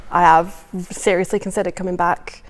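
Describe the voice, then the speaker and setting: A young woman from England, a recent graduate talking warmly and casually in an indoor lounge. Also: on the low side, level tone, normal speed, big-room sound. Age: young adult. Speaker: student. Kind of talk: casual interview.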